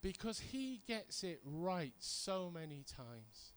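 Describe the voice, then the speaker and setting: A man's voice: sits high, sing-song, takes it slowly. A middle-aged British man giving a sermon, telling stories conversationally and repeating points for emphasis. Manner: conversational storytelling with emphatic repetition. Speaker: preacher. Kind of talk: sermon with personal anecdotes.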